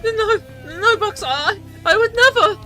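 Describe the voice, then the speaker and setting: A woman voicing a frightened adult friend who stammers with a trembling, crying voice, pitched high. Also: lively intonation, normal speed, sharp tone, soft background music. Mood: panicked crying.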